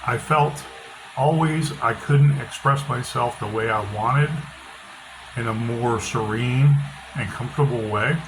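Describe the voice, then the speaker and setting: A middle-aged author talking casually with a loud, bass-heavy voice, blunt and opinionated, often repeating himself. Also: male voice, unhurried. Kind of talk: casual monologue. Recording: noisy background hiss.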